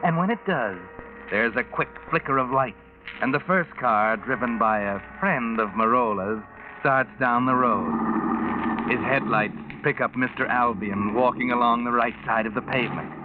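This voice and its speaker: A man's voice: medium pitch, normal speed, background noise, close to an everyday voice. A man playing a knowing, sardonic storyteller narrating a suspenseful crime tale on 1940s radio. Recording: tinny band-limited 1940s radio with music.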